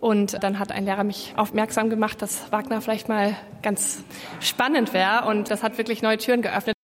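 A young woman, a voice student, speaking fluently and in a lively way about her studies. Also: mid-range voice, normal speed. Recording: long hall reverb.

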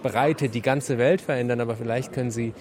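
A man's voice: medium pitch, steady tone, brisk, darkish tone. A man answering an interview question in a reflective way. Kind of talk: interview statement. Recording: crowd chatter behind.

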